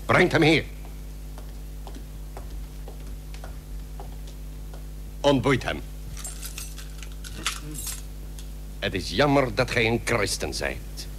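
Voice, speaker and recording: An actor playing an imperious, threatening Barbary potentate in a period radio drama, with commanding, archaic formal speech. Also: male voice, mid-range voice, sing-song, measured pace, fairly bright tone. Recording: noisy old radio recording with hum.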